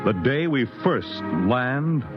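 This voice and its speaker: Male vintage radio announcer, grave and theatrical, big swooping delivery over loud music, muffled old recording. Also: mid-range voice, expressive, slow. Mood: portentous. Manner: portentous, theatrical. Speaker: announcer introducing a radio drama. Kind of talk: dramatic 1950s radio announcing.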